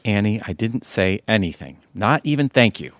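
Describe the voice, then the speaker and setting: A man's voice: on the low side, big pitch swings, normal speed, no echo. A man playing an affectionate, joking middle-aged husband in an audio theatre comedy-drama. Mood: teasing.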